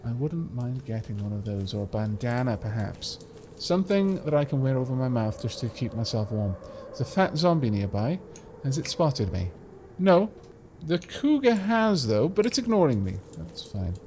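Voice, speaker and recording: A man hosting a let's play, chatting casually and explaining game mechanics with a slight rumble in his voice. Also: sing-song, moderate pace, darkish tone. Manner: chatty, explanatory, frequent restarts and fillers. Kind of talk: casual gameplay commentary.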